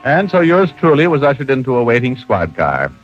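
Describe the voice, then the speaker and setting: A man's voice: mid-range voice, wildly swooping pitch, brisk, soft background music. A man playing a wisecracking, teasing private detective with glib, sardonic delivery in 1940s radio drama style. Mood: wry narration. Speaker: lead actor. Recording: dull old radio recording.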